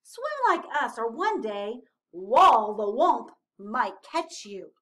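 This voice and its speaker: A woman's voice: on the high side, sing-song, slow, fairly bright tone, full character voice. A woman voicing a sensible, bossy young storybook penguin who looks after a smaller one and speaks in an emphatic, chiding way. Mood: exasperated.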